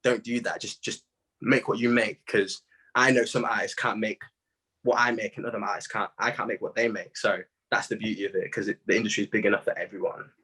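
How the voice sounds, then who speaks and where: A young man chatting casually in an interview, telling stories in an animated, slangy way with plenty of "bro" and "man". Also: on the low side, fairly quick, husky, low-quality recording. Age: young adult. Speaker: music artist being interviewed. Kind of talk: casual chat.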